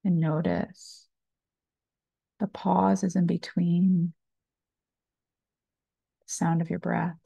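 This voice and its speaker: A woman meditation teacher guiding a body scan, speaking calmly and soothingly in short phrases with long pauses. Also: on the low side, gravelly, husky, no room sound. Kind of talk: slow guided meditation, body scan narration.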